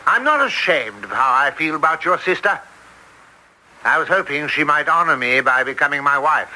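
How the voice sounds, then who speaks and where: An actor playing an earnest, stubborn young baronet in a radio drama, speaking directly. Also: male voice, on the high side, expressive, moderate pace, sharp tone. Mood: defiant. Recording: faintly noisy radio drama recording.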